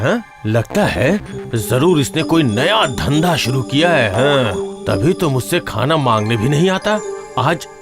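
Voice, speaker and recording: Greedy cartoon villain, wildly swooping voice. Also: male voice, medium pitch. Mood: suspicious, scheming. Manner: exaggerated, gloating, drawn-out delivery. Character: greedy, scheming brother, gleeful villain in a folktale cartoon. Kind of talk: cartoon voice acting.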